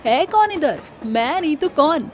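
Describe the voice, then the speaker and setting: Woman doing a cocky, high-voiced female challenger. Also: big pitch swings, a little slow. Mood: taunting. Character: cocky, combative female challenger, rapping boasts. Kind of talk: animated cartoon story acting.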